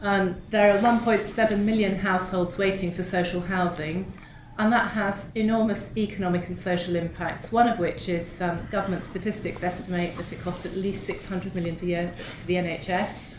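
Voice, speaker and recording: A woman presenting at a panel debate, speaking in a measured, informative way with frequent ums while hoarse from a cold. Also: mid-range voice, fairly even intonation. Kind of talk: conference presentation. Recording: echoey hall with noise.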